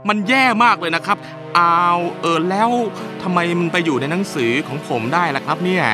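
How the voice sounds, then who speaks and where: A man's voice: high voice, a little fast, lightly acted. A man playing a cheerful, smug cartoonist father in a cartoon, giggly and exclaiming. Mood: flustered. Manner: giggly, exclaiming, sing-song. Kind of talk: cartoon voice acting. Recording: loud music behind the voice.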